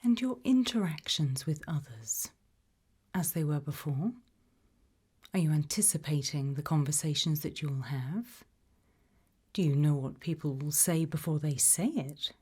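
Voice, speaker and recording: A British woman speaking in a soft, breathy, mostly whispered ASMR style, gently asking measured questions as an interviewer in a roleplay. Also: deep voice, animated. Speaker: ASMR roleplayer as an abduction investigator.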